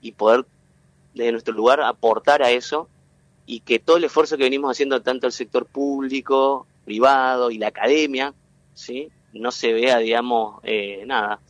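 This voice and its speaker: A man from Entre Ríos in Argentina with a local accent, a guest explaining at length in an interview, halting and full of fillers and restarts. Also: mid-range voice, fairly even intonation, dry recording, dull audio. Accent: Entre Ríos Argentine Spanish. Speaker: guest, tech cluster president.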